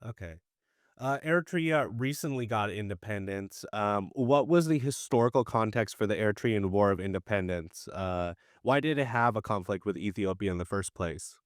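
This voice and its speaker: Low-voiced male podcast host. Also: normal speed, dry recording, clean studio sound. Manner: relaxed, asks short questions with fillers. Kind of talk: casual interview questions.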